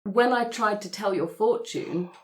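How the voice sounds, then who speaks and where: A woman taking part in a roleplay podcast, pitching story ideas in casual conversation. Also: measured pace, rough recording.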